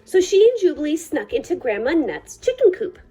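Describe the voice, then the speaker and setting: Female storytime reader, high and very melodic, soft-toned, deliberate phrasing, faint music underneath. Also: sing-song. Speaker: librarian reading a picture book aloud. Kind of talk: children's storytime read-aloud.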